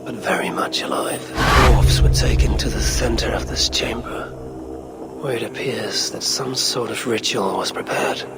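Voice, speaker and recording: An actor playing a grim, commanding Norse god warrior in a video game, with a gravelly, breathy voice and a measured, brooding manner. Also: male voice, on the low side, over loud music. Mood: grim, pondering. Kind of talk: dramatic game voice acting, brooding narration. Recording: heavy ambient game noise behind.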